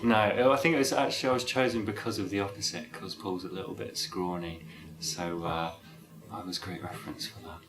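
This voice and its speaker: Self-deprecating low-voiced match mover. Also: male voice, steady tone, brisk. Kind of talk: interview answers.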